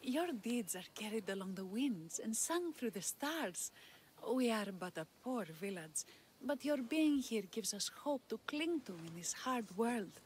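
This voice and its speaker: Solemn priestess over ambient noise. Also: female voice, a little high, sing-song, unhurried, darkish tone, low-quality recording. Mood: reverent. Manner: formal, reverent, grandiose. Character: solemn, devout, flattering village priestess. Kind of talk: video game cutscene acting.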